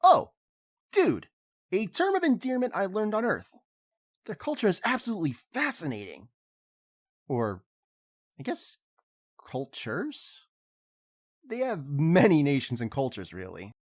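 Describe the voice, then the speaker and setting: An excitable young alien prince, played by a man, whose voice swings up and down with enthusiasm. He talks casually and laughs easily. The dry, close sound of a studio audio drama. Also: high-pitched, unhurried, long pauses, no room sound, full character voice.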